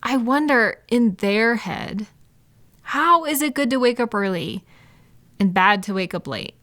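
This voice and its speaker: A woman playing a supportive, gentle female friend in an intimate, casual comfort roleplay, speaking in a reassuring, validating way. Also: fairly high pitch, expressive, a little slow, no room sound. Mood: mildly indignant. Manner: reassuring, validating, softly indignant on listener's behalf. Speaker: audio roleplay performer.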